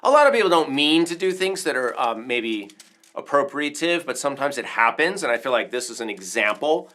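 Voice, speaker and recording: A man hosts an informal livestream in a bright, crisp-edged voice at an average male pitch. He talks like a percussion teacher showing off favorite gear, rambling along casually with clear enthusiasm. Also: big pitch swings, brisk.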